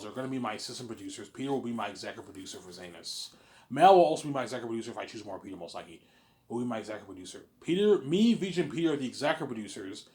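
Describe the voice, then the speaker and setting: A young man talking in a casual, rambling monologue, repeating himself and restarting phrases, blunt in his wording. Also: mid-range voice. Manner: repetitive, often restarting phrases. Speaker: speaker in a personal series about his planned film.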